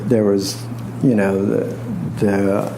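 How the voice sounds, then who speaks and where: A soft-voiced man giving an informal astrology lecture to a live group, halting and wryly humorous, with pauses and repeats. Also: on the low side, flat delivery, slight background noise, low-quality recording. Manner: wry, self-deprecating humor.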